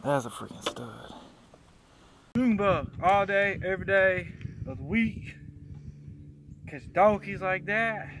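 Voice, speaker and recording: An excitable man with a Southern US accent who repeats himself, chatting casually on a fishing vlog from a small open boat. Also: sits high, slow pace, long pauses. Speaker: fishing vlogger. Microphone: action camera, worn or mounted nearby. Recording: outdoor action camera with background noise.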